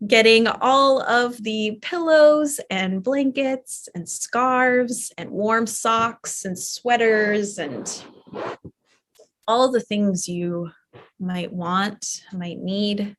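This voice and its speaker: A woman teaching a yoga nidra class, talking softly and soothingly with long pauses as she guides a guided relaxation. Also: fairly high pitch. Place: live online or studio class.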